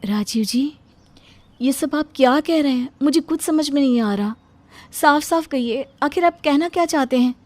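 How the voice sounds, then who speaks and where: A woman playing a meek, self-sacrificing young bride in an animated story, polite and pleading. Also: high voice, moderate pace, dry recording, voice pushed up, lightly acted. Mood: confused. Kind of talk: Hindi animated story acting.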